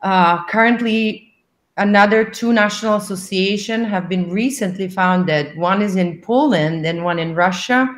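A middle-aged woman, an English speaker, explaining things in a measured way as a guest on a video call. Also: mid-range voice. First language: not English. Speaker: association president, interview guest. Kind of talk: interview talk on a video call. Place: indoor room. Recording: echoey room over video call.

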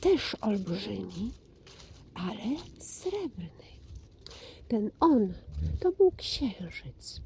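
A woman telling a children's fairy tale expressively, often dropping to a hush and repeating phrases for suspense. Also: medium pitch, sing-song, drawn-out words, long pauses, slight background noise. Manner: hushed, breathy drops, repeats phrases for suspense. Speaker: children's storyteller.

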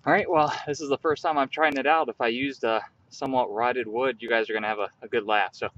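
A young man outdoors in a field, calmly walking through a hands-on tutorial step by step while he works with his hands. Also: medium pitch, fast talker, highs cut off. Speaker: bushcraft instructor.

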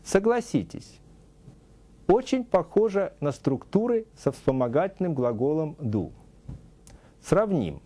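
A middle-aged man teaching a scripted TV language lesson, saying English example phrases slowly and deliberately, in a studio. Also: a little high, big pitch swings, warm tone. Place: TV studio with a news-desk set.

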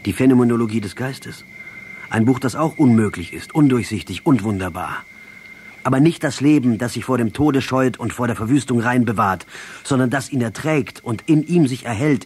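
An actor in a radio play playing a captured Marxist guerrilla commander, defiant, ironic and intellectual, speaking emphatically. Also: male voice, mid-range voice, animated, normal speed, darkish tone, slight background noise, close to an everyday voice. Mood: reflective. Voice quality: husky. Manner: sardonic, emphatic, declamatory.

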